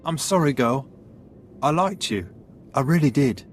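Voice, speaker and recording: An actor voicing a reluctant male thug who speaks apologetically and softly. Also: mid-range voice, lively intonation, unhurried, soft background music, lightly acted. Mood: regretful. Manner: apologetic, soft.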